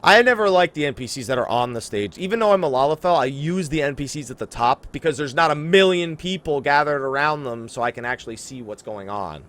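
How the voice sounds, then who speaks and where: A man hosting a casual gaming podcast, talking in an animated way and exaggerating for emphasis. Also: on the high side, brisk, hardly any pauses. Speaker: podcast host. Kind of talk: casual game podcast chat.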